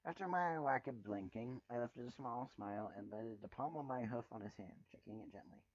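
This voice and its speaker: Husky man reading a story, muffled recording. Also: mid-range voice, fairly quick. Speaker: fan fiction reader. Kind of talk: dramatic first-person story narration. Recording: muffled, roomy home recording.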